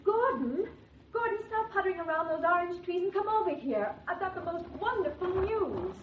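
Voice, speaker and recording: 1940s radio wife, high and outspoken, noisy old recording. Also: female voice, lively intonation, moderate pace, phone-quality sound. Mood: excited. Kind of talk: 1940s radio drama acting.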